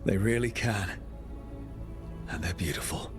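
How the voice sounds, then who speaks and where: An actor playing a supportive, level-headed adventuring leader in a fantasy video game, sincere and understated. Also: male voice, sits low, unhurried, over music. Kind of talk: video game dialogue acting.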